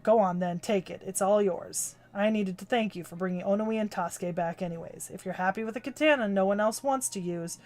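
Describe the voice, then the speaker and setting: A gruff, proud old swordsmith, put on by a woman reading a game aloud, with a growling voice in her ordinary pitch range. He speaks curtly and commands attention. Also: brisk, hardly any pauses, darkish tone, close to an everyday voice. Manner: curt, commanding delivery. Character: gruff older male swordsmith, blunt and proud. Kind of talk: reading a game's story aloud on stream.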